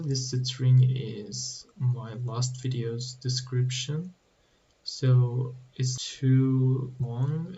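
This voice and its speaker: A man explaining code slowly with halting restarts, as a tutorial host. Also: mid-range voice, dark tone, muffled recording, slight background noise. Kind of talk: screen-recorded coding walkthrough.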